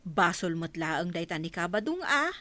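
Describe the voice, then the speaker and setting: A woman's voice: fairly low pitch, very animated, moderate pace, pushed and bright, no echo. An actress playing a matter-of-fact, gossiping village woman in an Ilocano-accented radio drama. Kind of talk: Philippine radio drama acting.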